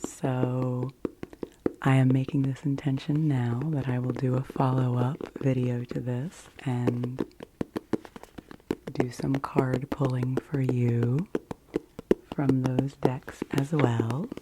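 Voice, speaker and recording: Whispering woman, very slow ASMR delivery. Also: a bass voice, level tone, very noisy. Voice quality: breathy unvoiced whisper. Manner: gentle, slow, unhurried. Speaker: ASMR video host. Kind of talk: ASMR, whispered casual explanation.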